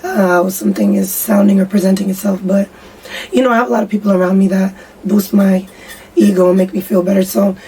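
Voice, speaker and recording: A young woman musician in a casual interview, with a raspy voice, talking candidly in slang and lots of fillers. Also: medium pitch, fairly even intonation, a little fast, warm tone. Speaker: musician guest. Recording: distant mic with faint background noise.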